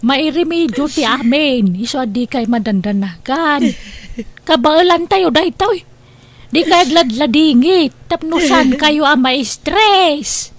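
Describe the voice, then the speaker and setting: Woman playing a high-voiced, gentle young daughter. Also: expressive, slow. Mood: consoling. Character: gentle young daughter comforting her mother. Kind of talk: Ilocano audio drama acting.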